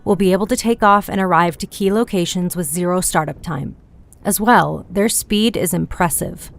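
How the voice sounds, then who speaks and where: Firm, measured female sergeant teaching recruits, acted by a woman, low-leaning voice with fry, audio drama. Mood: firm, instructive. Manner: instructional, measured. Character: Sergeant Helena, firm, composed female broom-riding instructor. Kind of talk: audio drama character acting.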